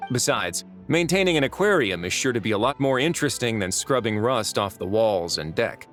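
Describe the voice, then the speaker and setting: A man voicing a composed, courteous warden in a game, measured and dryly practical. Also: mid-range voice, very animated, a bit bright, soft background music. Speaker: game character, prison warden.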